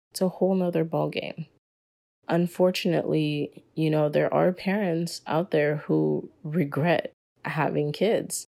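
A woman's voice: sits low, vocal fry, dark tone. A young woman hosting a solo podcast episode, talking in an earnest, opinionated way as she explains her views. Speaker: podcast guest host.

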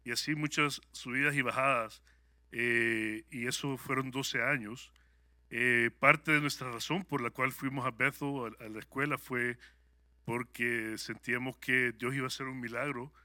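A man's voice: medium pitch, fairly even intonation, normal speed, pushed and bright, no echo. A man from El Salvador with a Salvadoran Spanish accent, sharing a personal testimony with a congregation in a conversational, upbeat way, with many hesitant fillers. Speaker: guest speaker at a church service.

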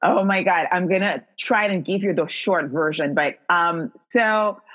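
A woman from Haiti with a Haitian accent, a guest telling her story in a casual, effusive interview. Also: fairly low pitch. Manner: warm and effusive, quick to affirm. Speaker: guest, skincare company founder. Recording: dull lo-fi audio.

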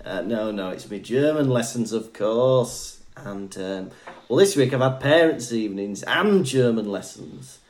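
A man's voice: mid-range voice, lively intonation, a little slow. A young adult man from Wales co-hosting a podcast, chatting casually, telling stories and laughing often. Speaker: podcast co-host. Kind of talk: casual chat.

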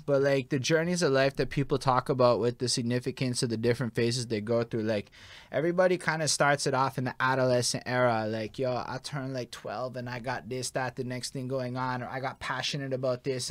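A man's voice: mid-range voice, no echo. A young man hosting a podcast interview, talking casually with a slangy, storytelling manner. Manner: laid-back, slangy, storytelling with lots of "like". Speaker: podcast host.

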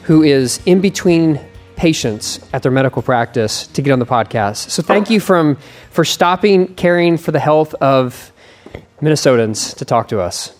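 A man's voice: a little high, lively intonation, normal speed, faint music behind. A young man hosting a podcast interview, chatting conversationally with plenty of fillers and restarts. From Pennsylvania. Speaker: podcast host. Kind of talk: casual interview.

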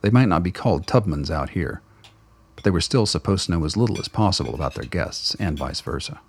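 A male narrator telling a radio drama steadily in close third person. His voice is deep and husky. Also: expressive, fairly quick. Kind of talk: scripted narration.

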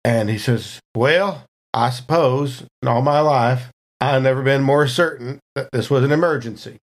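A man's voice: mid-range voice, moderate pace. A man chatting casually as a tabletop game player, thinking aloud in a hesitant, wry, conversational way.

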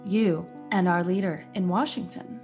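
A woman's voice: on the low side, steady tone, normal speed, darkish tone, soft background music, pitched below the performer's own voice, close to an everyday voice. A woman playing a solemn, authoritative military superior in 1940s-style radio drama. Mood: grave, commanding. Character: grave, commanding military superior in a wartime radio serial.

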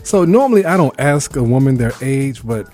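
A man's voice: medium pitch, big pitch swings, brisk, a little mellow, soft background music. A man from Brooklyn with an American accent, hosting a conversational interview in an encouraging, complimentary way. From Brooklyn, New York. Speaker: show host interviewing a guest.

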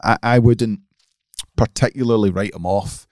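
A man's voice: fairly low pitch, normal speed, darkish tone, no echo. A man co-hosting a podcast, giving emphatic, opinionated golf picks in casual chat. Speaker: podcast co-host.

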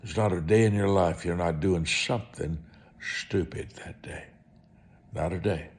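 A man preaching through personal storytelling, speaking in short, deliberate, emphatic phrases and repeating them for effect. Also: sits low, darkish tone. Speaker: preacher and author telling testimony. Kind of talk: storytelling sermon monologue.

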